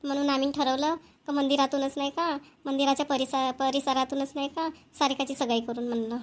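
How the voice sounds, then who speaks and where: A woman playing a busy middle-aged village mother in a cartoon, hurried and matter-of-fact, with a Vidarbha Marathi accent. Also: sits high, level tone, natural voice. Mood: hurried, businesslike. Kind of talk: amateur cartoon voice acting.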